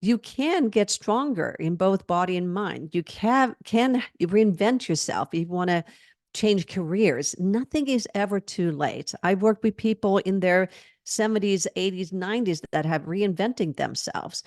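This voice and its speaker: A woman's voice: mid-range voice, lively intonation, measured pace, a little mellow, no room sound, clean studio sound. A woman in her sixties answering interview questions over a video call, earnest and reflective, laughing often as she talks. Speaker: fitness trainer and guest.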